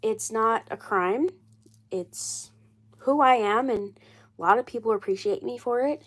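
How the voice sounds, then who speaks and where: A woman's voice: fairly high pitch, a little slow, a bit bright. Froggy-voiced young woman with a cold. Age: 20s. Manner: rambling, repeats herself, defensive. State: hoarse with a cold. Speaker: doll collector livestreaming. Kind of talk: casual livestream chat.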